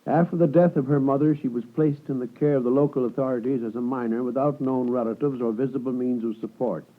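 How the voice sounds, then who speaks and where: An actor playing a deferential, fussy law clerk in a 1950s radio drama, formal, hesitant and obsequious. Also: male voice, medium pitch, fairly even intonation, fast, lightly acted. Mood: formal reading. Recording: tinny vintage 1950s radio broadcast.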